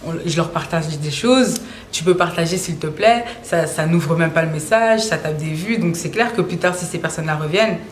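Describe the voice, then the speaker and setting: A woman's voice: fairly low pitch, moderate pace, a little hiss or hum. Young woman, casual, echoey room. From Belgium, Brussels. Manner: relaxed, slangy, with frequent hesitations. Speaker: singer interviewed about her music. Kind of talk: casual interview answers.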